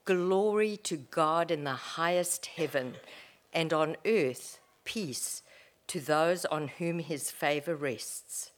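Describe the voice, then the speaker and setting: A woman reads a Bible passage to the congregation. Her voice is low and her pace slow and careful, every phrase measured. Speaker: scripture reader. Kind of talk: scripture reading.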